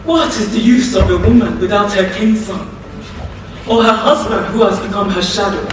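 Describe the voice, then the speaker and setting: Distant, echoing stage recording. Actress as proud Nigerian wife in grief, dignified and declamatory. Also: female voice, mid-range voice, moderate pace, close to an everyday voice. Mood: grieving. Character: proud, grieving wife of a jailed politician. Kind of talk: theatrical stage acting. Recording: very echoey stage, far mic, faint noise.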